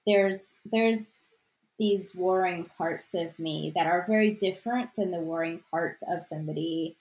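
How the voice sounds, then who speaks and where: A woman from Sri Lanka with a slightly low, soft voice talks through her work in an interview. She is reflective and unhurried in thought, padding her sentences with fillers and restarts as she finds her words. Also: poor audio. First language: Tamil. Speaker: author. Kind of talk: interview conversation.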